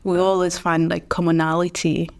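A woman's voice: on the low side, level tone, normal speed, gravelly. Tanzanian-born woman, chatty with fillers. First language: Swahili. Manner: many fillers like "you know" and "yeah". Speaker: guest. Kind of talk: casual interview chat.

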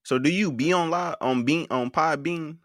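A man's voice: on the high side, moderate pace, fairly bright tone. An American man hosting a live call-in show in a casual, hesitant way, often repeating his words. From United States. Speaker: podcast game show host.

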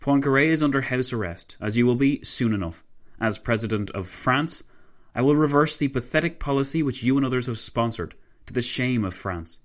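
A man's voice: mid-range voice, expressive, a little fast, pitched above the performer's own voice, close to an everyday voice. A man acts out a French marshal in a historical tale. He is proud and imperious, declaiming with cold ambition and open menace. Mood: cold, commanding. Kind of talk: dramatised historical narration.

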